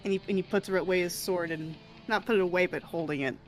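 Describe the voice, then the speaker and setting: A woman's voice: on the low side, steady tone, fast, faint music behind, poor audio. A woman playing a tabletop game, chatting casually and narrating her character in a giggly, questioning way.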